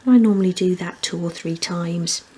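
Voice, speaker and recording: A woman's voice: fairly low pitch, moderate pace, mellow, a little hiss or hum. A woman presenting a hands-on craft tutorial, explaining each step methodically. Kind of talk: hands-on step-by-step instructional demonstration.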